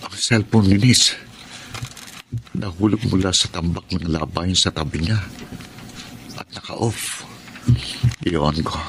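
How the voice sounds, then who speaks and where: A deep-voiced wronged husband in a Tagalog radio drama. He sounds earnest and aggrieved, a working man weighed down by suspicion, over a clearly noisy recording. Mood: suspicious. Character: hurt, suspicious husband, a working man confiding his marital troubles.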